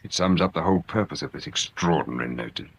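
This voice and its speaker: Polite dry police detective, radio play, lowish slightly husky voice, very varied intonation. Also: male voice, animated, full character voice. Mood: intent, measured. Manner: formal, measured, drily understated. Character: courteous, shrewd, dry-witted visiting police detective. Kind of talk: radio drama acting.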